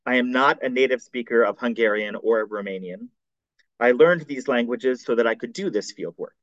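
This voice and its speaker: A man reads his seminar paper in a careful, formal cadence, correcting the odd slip as he goes. His voice sits at an ordinary pitch for a man. The recording is dry and somewhat dull and muffled. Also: a bit bright, dry recording. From United States. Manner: measured, formal reading with occasional slips. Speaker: scholar presenting a seminar paper. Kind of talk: reading a prepared academic paper.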